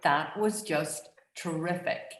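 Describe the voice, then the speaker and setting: A woman with a very low voice hosts the event and thanks the speaker. Her praise is gushing and halting, and the room gives her voice an echo. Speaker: event host.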